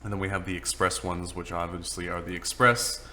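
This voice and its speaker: A man with a very low voice that still carries a bright, forceful edge gives a relaxed training walkthrough. He jokes along the way and fills pauses with ums and uhs. A faint hum sits behind him. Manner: casual, joking, lots of ums and uhs. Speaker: office trainer. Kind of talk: screen-recorded training walkthrough.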